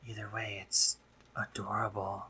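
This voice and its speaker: A man playing a doting, teasing gentlemanly spider-monster painter in a romantic roleplay audio drama, with genteel phrasing, hesitant pauses and drops into whispers. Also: lowish voice, completely flat delivery, a little hiss or hum, low-quality recording. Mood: teasing, affectionate.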